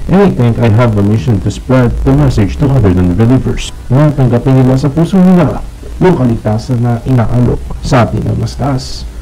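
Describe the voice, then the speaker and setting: A man playing an earnest scientist turned believer, speaking in a mock news interview with a husky, gravelly voice. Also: mid-range voice, expressive, normal speed, dark tone, soft background music, rough recording. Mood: earnest, convinced.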